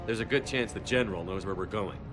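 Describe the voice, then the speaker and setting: An actor playing a steady, wary military commander in a video game, speaking in a terse, matter-of-fact way. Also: male voice, on the low side, fast, close to an everyday voice. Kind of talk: video game cutscene acting. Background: music.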